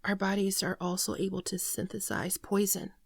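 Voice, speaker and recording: Girl doing a sad gentle alien runaway for asmr, soft n near whispery, bit of fry, super dry close sound. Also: mid-range voice, flat delivery, no room sound. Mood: grave, regretful. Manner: earnest, halting, confessional. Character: gentle female shapeshifter alien, wounded fugitive, sorrowful. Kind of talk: ASMR roleplay monologue, scripted.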